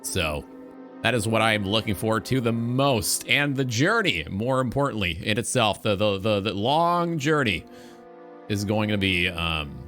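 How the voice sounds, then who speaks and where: A young man from North America hosting a casual radio-style livestream talk, phrasing things with an announcer's showmanship and drawn-out deliberation. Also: lowish voice, expressive, fairly bright tone. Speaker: talk show host, game streamer. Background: background music.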